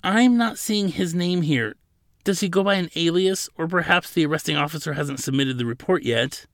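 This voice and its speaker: A man's voice: medium pitch, expressive, moderate pace, creaky, no echo, clean studio sound. A man voicing a slight, timid male desk attendant in an audiobook, with a nervous, hesitant, apologetic way of talking. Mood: apologetic, frazzled.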